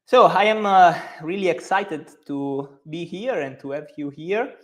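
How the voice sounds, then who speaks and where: A man's voice: high voice, a little slow, fairly bright tone. A man in his thirties with a strong Italian accent, a native Italian speaker hosting a live stream as a company co-founder, talking with many fillers and restarts. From Italy.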